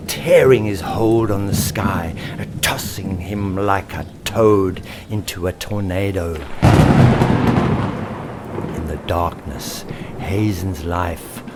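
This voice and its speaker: Slow, expressive male storyteller, fairly low voice. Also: heavy background noise. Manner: theatrical, lingering on alliterative words. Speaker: author and storyteller. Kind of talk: expressive children's story narration.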